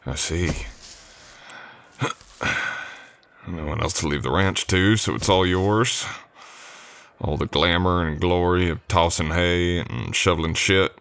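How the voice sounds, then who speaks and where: A male actor voices a drifter cowboy hired on as a ranch hand in an old west audio roleplay. His voice is low and soft, and he talks straight to the listener in a folksy, courteous way. He comes across as easygoing and a little unsure of his manners. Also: steady tone, slow, long pauses, clean tone, heavily acted. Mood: thoughtful, curious. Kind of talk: ASMR roleplay acting, addressing the listener.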